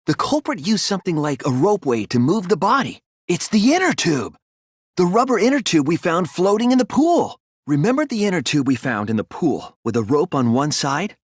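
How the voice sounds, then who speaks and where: An earnest young male detective from an anime video game. His voice is husky and swings widely in pitch as he reasons things through with deliberate care. The recording is dry and close, with no room sound. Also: very animated, dry recording. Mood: urgent, realizing. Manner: earnest, deliberate, deductive, sometimes hesitant. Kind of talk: dramatic anime game dialogue.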